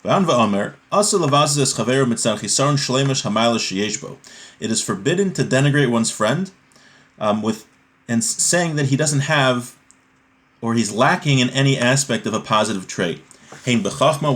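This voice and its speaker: A man's voice: medium pitch, lively intonation, moderate pace, fairly bright tone. A man giving an informal religious lesson, reading a text aloud and explaining it step by step, often checking that listeners follow. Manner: explanatory, checks understanding with rhetorical tags like "right?".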